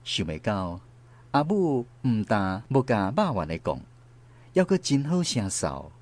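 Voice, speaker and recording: A man's voice: on the low side, big pitch swings, a little slow, darkish tone. A man with a Taiwanese accent narrating a scripted first-person story for a radio drama. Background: faint guitar music.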